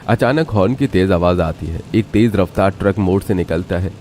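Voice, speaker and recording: Very deep-voiced man narrating a story. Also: normal speed, a little mellow, a little hiss or hum.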